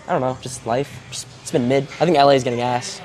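A young man livestreaming from a large indoor gym on a lapel mic, hoarse and losing his voice, loud and joking as he talks to his chat. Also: mid-range voice, fairly even intonation, fast talker, soft background music. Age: young adult.

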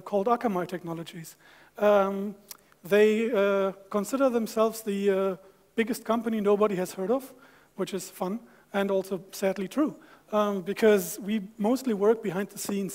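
A man's voice: high-pitched, a little mellow. A man in his thirties with a German accent, giving a friendly, joking tech talk on stage in a large auditorium, with a headset mic. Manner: friendly, joking, fast-talking with fillers. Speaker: conference speaker.

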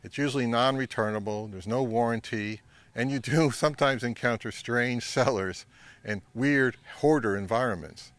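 A middle-aged man giving a measured, instructional talk to camera as a vlog host, recorded outdoors. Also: medium pitch, a bit bright, dry recording. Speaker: vintage audio channel host. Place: outdoors by a pond and on a wooded trail.

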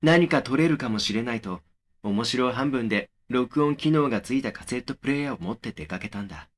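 A man acting as a young male narrator of a horror audio drama, at a medium pitch. He recounts his own eerie memories in a measured, brooding, matter-of-fact way. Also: lively intonation, natural voice.

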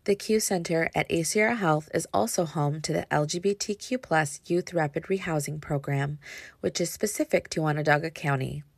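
A woman's voice: deep voice, normal speed, creaky, no room sound. A woman, a youth development specialist, reading a scripted presentation over slides on a webcam.

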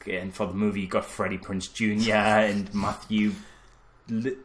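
A man's voice: fairly low pitch, flat delivery, normal speed, slight background noise. A man co-hosting a casual film review podcast, telling anecdotes in a jokey, sweary way. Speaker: podcast co-host.

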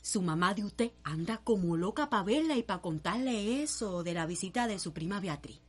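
A Cuban enslaved maid in a radio melodrama. Her speech is rustic and clipped, deferential and flustered, with a highly animated melody. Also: moderate pace, slightly rough. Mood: chatty, deferential. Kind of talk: radio soap opera acting.

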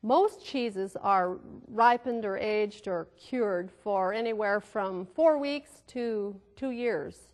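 Middle-aged woman hosting a cooking lesson. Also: medium pitch. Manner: measured, informative delivery with occasional fillers. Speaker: cooking show host. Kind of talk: scripted health lesson to camera. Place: TV studio kitchen set, indoors. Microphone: lapel mic.

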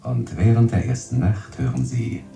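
Ein Schauspieler spielt in einem Hörspiel einen förmlichen, effektvollen Radioansager. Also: male voice, medium pitch, sing-song, moderate pace, creaky. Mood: formal, showy. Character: smooth radio announcer, showily formal. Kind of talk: announcer in a radio play. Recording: echoey, distant, music behind.

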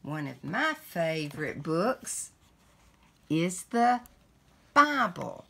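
An older woman reading a picture book aloud for young children, pronouncing it word by word in a deliberate way. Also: low-pitched, big pitch swings, vocal fry, a bit bright, husky. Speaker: read-along host. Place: indoors at home.

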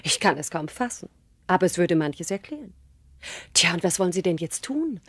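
A woman's voice: on the low side, big pitch swings, normal speed, breathy edge, no room sound. An actress playing a prim female schoolteacher in a radio play, formal and precise in her speech. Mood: incredulous. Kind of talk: German radio play acting.

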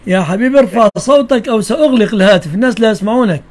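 A man's voice: high voice, expressive, racing, a crowd in the background. A man with an Egyptian accent hosting a live call-in religious question session, answering callers bluntly and questioning them back.

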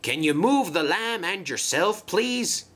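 A man voicing a busy, friendly male dockside engine in a children's train story, hurried but polite. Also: high voice, lively intonation, fairly bright tone, voice pushed up, full character voice.

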